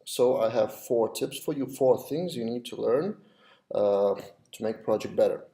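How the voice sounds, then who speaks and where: Mellow Lithuanian man talking to webcam. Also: medium pitch, measured pace. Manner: hesitant, many restarts and fillers. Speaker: web developer vlogger. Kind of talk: casual talk to camera. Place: small office, indoors.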